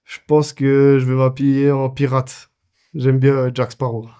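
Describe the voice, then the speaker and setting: A man's voice: mid-range voice, monotone, unhurried, warm tone, clear, resonant tone. A man in his twenties, a goalkeeper answering interview questions casually, with many fillers and restarts. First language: French. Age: 20s.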